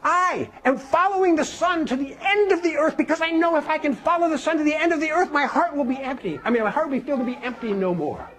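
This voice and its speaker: A man's voice: very high voice, fairly bright tone, pitched above the performer's own voice. A storyteller voicing an earnest, stubborn young male wanderer in a told folk tale. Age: young adult. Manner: earnest, quick to protest.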